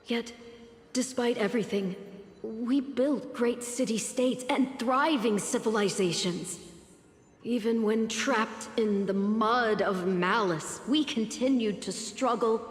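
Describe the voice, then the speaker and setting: A woman playing a humble mortal heroine in a video game, speaking formally and haltingly as she pleads for her life. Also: a little high. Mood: pleading. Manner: halting, earnest, formal speech. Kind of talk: dramatic video game voice acting. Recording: long echoing hall reverb, music behind. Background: music.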